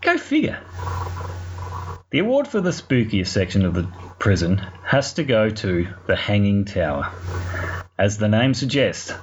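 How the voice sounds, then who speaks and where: A man with an Australian accent telling a story informally for a podcast, in a colloquial, sweary, wry way. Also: on the low side. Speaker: podcast segment contributor. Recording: muffled lo-fi recording, clear background noise.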